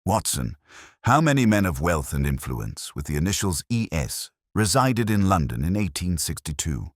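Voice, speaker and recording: A man voicing an intense, precise detective in an audio story, speaking in a deliberate, commanding, didactic way. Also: low voice, big pitch swings, no echo, clean studio sound. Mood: urgent, deducing.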